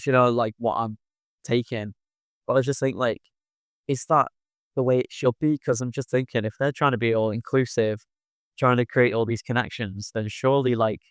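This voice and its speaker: A man's voice: mid-range voice, clear, resonant tone, no echo, clean studio sound. A young university student from northwest England, chatting casually and filling his talk with "like" and "you know what I mean.". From Wirral, England. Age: young adult. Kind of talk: casual conversation.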